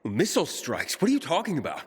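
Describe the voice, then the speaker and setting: A man's voice: on the high side, huge pitch swings, fast, slightly rough, full character voice. An actor playing a tough, protective young male survivor in a video game cutscene, terse and urgent. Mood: tense, resolute. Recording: loud music behind.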